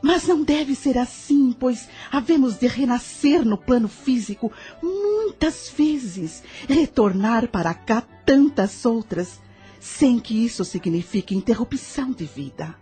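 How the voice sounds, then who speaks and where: A woman with a Brazilian accent playing a serene, patient spirit guide with a soft, sweet voice in an audio drama. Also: fairly high pitch, lively intonation, unhurried, breathy edge, faint music behind, heavily acted. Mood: serene, instructive.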